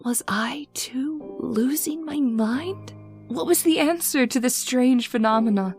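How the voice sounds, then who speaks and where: Woman as anxious daughter, fairly high voice, dramatic, tremulous, radio horror, faint music behind. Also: unhurried. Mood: haunted, bewildered. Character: anxious, frightened daughter recounting her father's haunting. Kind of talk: old-time radio horror acting and narration.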